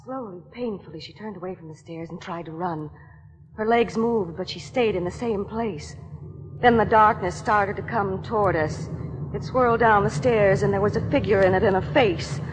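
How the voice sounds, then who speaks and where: A woman's voice: mid-range voice, normal speed, background noise, close to an everyday voice. An actress playing an anxious, exhausted young woman, narrating her nightmare dramatically in 1950s suspense radio style. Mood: haunted, dread. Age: young adult. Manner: dramatic, dreamy first-person narration. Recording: 1950s radio broadcast, long reverb, music behind.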